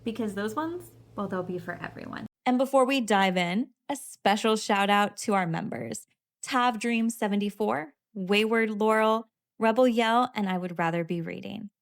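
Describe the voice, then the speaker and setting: A woman doing casual game commentary and reading lines aloud, expressive, blunt and sassy. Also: mid-range voice, moderate pace. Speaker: video creator reacting to a game.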